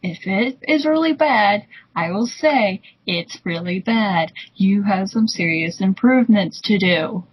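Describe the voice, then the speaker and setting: Female commentator, raspy edge, opinionated and blunt casual talk, dull lo-fi recording. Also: medium pitch, expressive, unhurried, hardly any pauses. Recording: dull lo-fi microphone sound.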